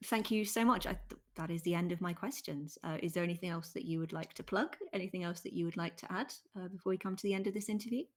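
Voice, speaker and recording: A woman with a slightly low voice hosts an informal interview. She is chatty and encouraging, putting her questions in a casual, conversational way. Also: fast, few pauses. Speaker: interviewer, host.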